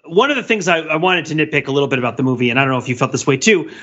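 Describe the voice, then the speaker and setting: Male panelist, fairly high bright voice with some rasp, explanatory and conversational. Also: rapid-fire, dull audio. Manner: explanatory, animated. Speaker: podcast panelist. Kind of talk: casual film discussion.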